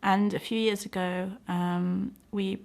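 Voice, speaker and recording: A woman's voice: mid-range voice, little pitch movement, takes it slowly, gravelly, a little mellow. A middle-aged British woman speaking reflectively in an interview, earnest and thoughtful, often hesitating as she finds her words. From United Kingdom. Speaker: interviewee, doctor and community organiser.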